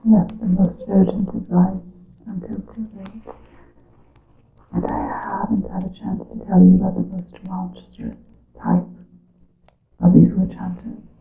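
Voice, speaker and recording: A woman whispering an ASMR roleplay as a grave witch, in slow, hushed, theatrical phrasing with a breathy voice. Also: wildly swooping pitch. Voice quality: breathy whispering mixed with soft low voice. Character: grave witch counseling her coven in secret. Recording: muffled lo-fi, echoing room.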